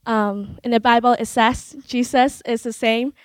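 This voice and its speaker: A young woman shares her student testimony, pausing often and filling gaps with soft "um"s. Her voice is smooth and resonant, sitting a little high. The sound is dry and close. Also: steady tone, no echo. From Hong Kong. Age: young adult. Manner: hesitant, many filler sounds, light laughs. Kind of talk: personal testimony on stage.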